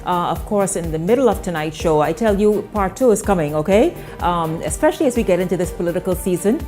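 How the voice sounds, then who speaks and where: A woman's voice: lowish voice, animated, quick pace, a little mellow, soft background music. Chatty woman TV host. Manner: chatty, repeats words, enthusiastic. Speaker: TV talk show host. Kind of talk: live TV interview chat.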